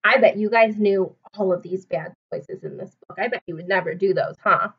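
A woman in her twenties reading aloud to young children like a teacher, asking them simple questions in an animated way. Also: mid-range voice, normal speed, breathy edge. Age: 20s. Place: small home room, indoors. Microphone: webcam or laptop mic at arm's length. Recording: dull webcam sound, distant mic.

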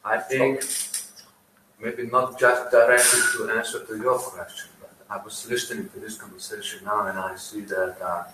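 Man, panel guest, halting delivery, distant echoey live recording. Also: fairly low pitch, level tone, noisy background. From Slovenia. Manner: halting, searching for words. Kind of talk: panel discussion. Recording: roomy and distant live feed with faint ambience.

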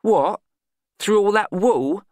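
A man's voice: high voice, animated, slow pace, a little mellow, no echo, studio quality, pitched above the performer's own voice. A man voicing a bossy, practical young woman in an audiobook, blunt, exasperated and scolding.

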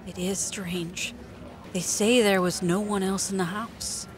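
A woman's voice: mid-range voice, steady tone, a little slow, slight background noise, lightly acted. An actress playing an earnest, concerned woman in an audio drama, probing in a conversational way. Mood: secretive, puzzled.

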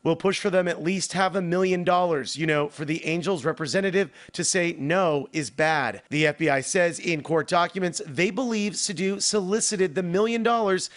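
A man reporting a political story for local TV news from the studio, in a measured newscaster delivery. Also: high voice, fairly bright tone.

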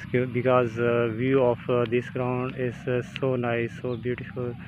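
Halting Pakistani-accented man, noisy muffled recording. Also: medium pitch, completely flat delivery. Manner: halting, hesitant, filler-heavy, short fragmented phrases. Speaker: vlogger. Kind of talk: casual walk-and-talk monologue to viewers. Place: outdoors, walking on university grounds. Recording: muffled outdoor audio with clear noise.

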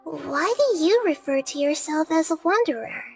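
A little goddess of wisdom with a soft, high, childlike voice. She speaks deliberately and calmly, like a thoughtful sage in a small girl's body, over faint game music. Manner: measured, philosophical, deliberate. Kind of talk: video game voice acting.

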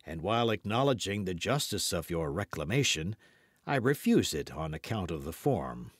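A man voicing a haughty young musketeer in an audiobook, measured and coldly polite, with a mild but biting manner. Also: low voice, sing-song, breathy edge, no room sound, clean studio sound, voice pushed down, full character voice. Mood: cold, cutting. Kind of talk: audiobook character dialogue.

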